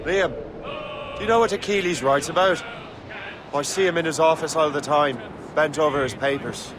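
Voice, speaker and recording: A man's voice: on the high side, moderate pace, a crowd in the background, close to an everyday voice. A man playing a brash young Assassin sailor in a video game, with casual, cheeky, teasing delivery. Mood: casual, questioning. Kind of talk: video game dialogue acting. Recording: noisy outdoor ambience behind.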